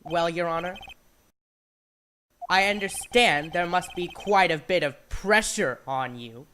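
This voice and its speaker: A man's voice: sits high, slow, bright, forceful tone, a little hiss or hum, heavily acted. A young man playing an earnest, easily flustered defense lawyer in an emphatic video game fandub. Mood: confident, pressing. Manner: emphatic, theatrical.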